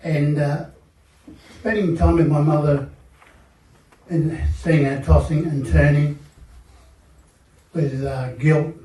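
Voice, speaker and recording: Older Australian man, dark echoey voice. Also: a little high, fairly even intonation. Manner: measured, reflective, many fillers. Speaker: panel guest. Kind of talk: personal testimony at a seminar panel. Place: seminar hall. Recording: echoey room, mic at a distance.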